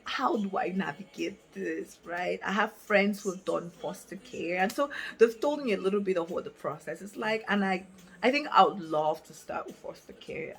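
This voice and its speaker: Woman, late twenties, from Africa. Candid vlogger talking to camera, lower-pitched voice, faint music underneath. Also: fairly bright tone, poor audio. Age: 20s. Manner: candid, thinking aloud with many fillers and restarts. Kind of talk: casual reflective talk to camera. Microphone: camera mic, reading from notes.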